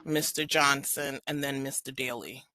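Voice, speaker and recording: A moderator briskly calling on speakers at a community meeting. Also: medium pitch, fairly even intonation, sharp tone, dry recording. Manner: brisk, procedural, apologetic.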